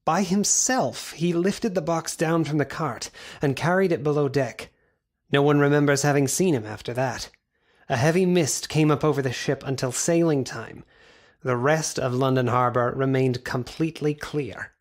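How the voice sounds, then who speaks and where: An actor playing a sober diarist, giving measured, serious narration in an audio drama. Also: male voice, a little high, natural voice. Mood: grave, measured. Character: sober male diarist narrating a gothic pursuit.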